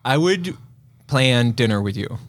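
A man's voice: medium pitch, expressive, a little slow, no echo. A man co-hosting a podcast in casual chat, with a rambling, conversational way of talking. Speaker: podcast co-host.

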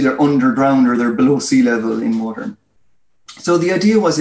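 A man's voice: mid-range voice, a little mellow. A man with an Irish accent giving articulate, explanatory interview answers in a storytelling way. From Ireland. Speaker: guest, head of a research firm. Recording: roomy, echoey sound.

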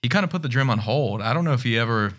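A man's voice: mid-range voice, lively intonation, racing, a bit bright. A man in a casual podcast chat telling a meandering anecdote, peppered with "like.". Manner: meandering, uses "like" often.

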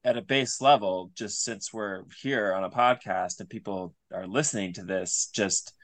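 A man speaking as a writer interviewed on a podcast, thoughtful and searching, piecing his sentences together in halting phrases. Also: lowish voice, dry recording. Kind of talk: reflective interview talk.

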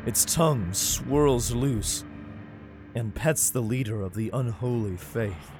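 An actor playing a grim, weary soldier who narrates his ordeal in an audio drama, grave and measured. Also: mid-range voice, music in the background. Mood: strained, grave.

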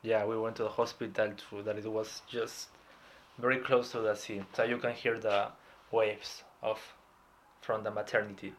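A man in his thirties from Peru, talking in a relaxed, hesitant way as a podcast interview guest. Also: fairly low pitch, moderate pace, a little hiss or hum. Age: 30s. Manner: reflective, hesitant, with light laughs. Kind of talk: casual personal interview.

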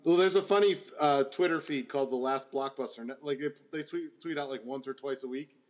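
A man co-hosting a casual sports podcast, tossing out teasing jokes in quick banter. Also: medium pitch. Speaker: podcast co-host.

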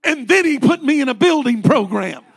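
A man's voice: extremely high pitch, lively intonation, normal speed, vocal fry, slightly rough. A man in his late forties, a pastor preaching a fervent sermon with rising repeated refrains. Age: 40s.

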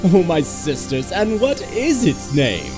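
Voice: medium pitch, big pitch swings, low-quality recording. An actor playing a bawdy, wheedling young male porter in archaic audio drama style, with music behind. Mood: playful. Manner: theatrical, archaic speech, drawn-out delivery.

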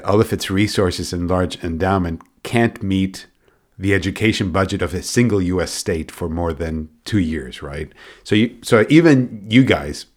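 A man asking questions as an interviewer in a conversational way. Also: low voice.

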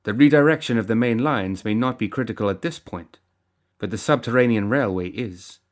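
A man voicing a composed, commanding woman who leads a crime syndicate, stern yet compassionate, speaking in a measured, formal way in an audiobook. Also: fairly low pitch, close to an everyday voice. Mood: cool, impatient. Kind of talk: audiobook character acting.

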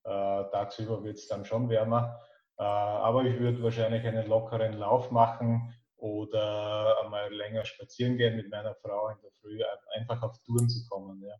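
An Austrian man in his fifties speaks as a podcast guest. He keeps to a narrow, even pitch and picks his words with some hesitation. The recording is dull and echoey. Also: normal speed. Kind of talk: casual interview about his life. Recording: dull, echoey remote-call sound.

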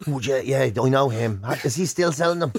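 A man's voice: medium pitch, a little fast, no room sound. An Irish man hosting a radio show, telling comic stories in casual banter and acting out imagined dialogue. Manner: acts out imagined dialogue in anecdotes. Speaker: radio and podcast host.

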